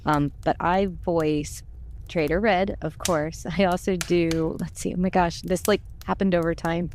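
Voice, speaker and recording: Female voice actor, casual intro, lower pitch, playful rambling delivery, slight background noise. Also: steady tone, measured pace, darkish tone. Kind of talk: casual self-introduction chat.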